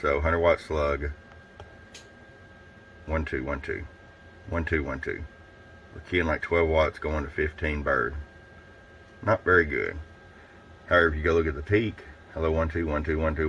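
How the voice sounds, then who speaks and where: A man giving a casual, step-by-step hands-on product review in a plain-spoken, folksy way, pausing between short phrases. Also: rumbling low, fairly even intonation, fairly bright tone, a little hiss or hum. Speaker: radio seller demonstrating a product.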